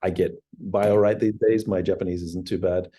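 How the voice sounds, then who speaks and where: A man's voice: deep voice, fairly even intonation, a little fast, warm tone, husky. A man in his forties, a company founder answering interview questions in a hesitant, reflective way, full of fillers and restarts. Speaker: startup CEO guest.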